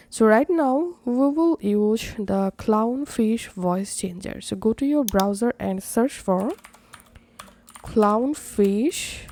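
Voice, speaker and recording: A woman narrating a step-by-step software tutorial as its host. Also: medium pitch.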